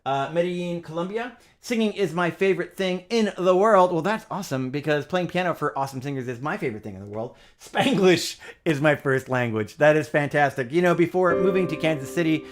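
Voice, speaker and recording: A man's voice: a little high, fairly quick, few pauses. A middle-aged man hosting a casual music livestream, chatty and upbeat, with lots of fillers. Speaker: livestream pianist host.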